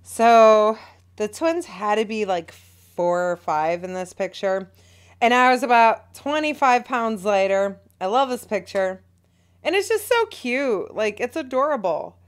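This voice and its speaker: A woman in her thirties co-hosting a casual podcast, chatty, teasing and animated. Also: on the high side, measured pace, smooth. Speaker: podcast co-host. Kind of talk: casual chat.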